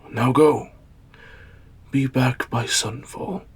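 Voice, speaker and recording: A man playing a stern old warrior father and master in an audio drama, speaking in a solemn, measured way. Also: medium pitch, slightly rough, heavily acted. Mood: firm, protective. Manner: solemn, measured, sage-like delivery.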